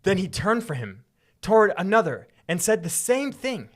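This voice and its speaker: Animated podcast narrator with lively pitch. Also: normal speed. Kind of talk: lively scripture narration and casual banter.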